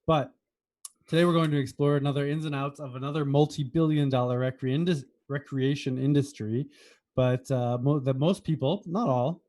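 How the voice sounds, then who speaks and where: Mellow, teasing American man. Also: mid-range voice, steady tone, normal speed. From United States. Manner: wry, teasing. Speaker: podcast co-host. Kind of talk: casual chat.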